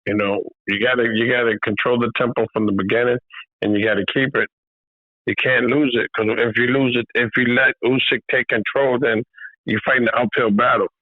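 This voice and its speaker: Boxing trainer guest on a remote call, easygoing, loose "you know" phrasing, thin phone-line sound, dry. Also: male voice, medium pitch, a little fast, no room sound. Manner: relaxed, repeats "you know" often. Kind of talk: casual remote interview. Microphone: video call. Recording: tinny phone-like call audio.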